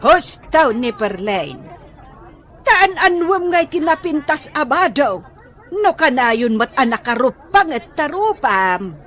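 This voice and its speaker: A woman's voice: high voice, very animated, takes it slowly, a bit bright, some chatter behind, heavily acted. A woman acting a chatty, bluntly frank auntie who sells goods, in an Ilocano radio comedy drama with an Ilocano accent. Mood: bluntly teasing.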